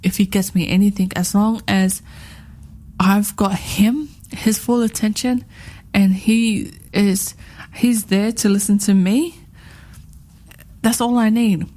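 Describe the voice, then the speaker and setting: A woman's voice: mid-range voice, steady tone, takes it slowly, pauses often, mellow. A woman in her thirties co-hosting a radio chat show, talking in a rambling, heartfelt way and repeating herself often. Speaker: radio co-host.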